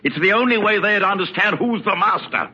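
An actor playing a middle-aged, bullying colonial colonel with a rigid, peremptory military manner in a drama. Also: male voice, sits high, expressive, a little fast, sharp tone, husky, exaggerated voice. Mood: curt, impatient. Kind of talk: dramatic acting. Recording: dull lo-fi sound with faint noise.

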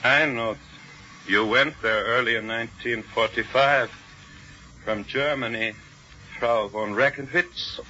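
An actor playing a scheming exiled portrait painter who blackmails with formal, courtly manners, in a 1940s radio drama style. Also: male voice, medium pitch, steady tone, slow pace, bright, forceful tone. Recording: muffled old radio broadcast with faint hiss.

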